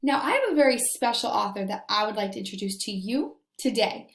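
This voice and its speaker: A woman teacher giving a read-aloud lesson to young children, enunciating deliberately and explaining things simply as she addresses them directly. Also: medium pitch, expressive, fairly bright tone.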